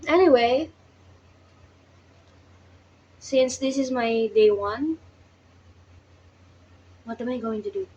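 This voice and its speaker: A young woman with a Filipino accent talks to herself at a slow, halting pace, in a slightly high voice. She sounds sleepy and self-conscious. The audio is dull and lo-fi, with a faint hum of room noise behind her. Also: mellow. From Philippines. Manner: hesitant, self-conscious, restarts and laughs at herself. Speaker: vlogger. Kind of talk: casual self-talk vlog practicing English. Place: small workplace counter, indoors. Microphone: phone held nearby.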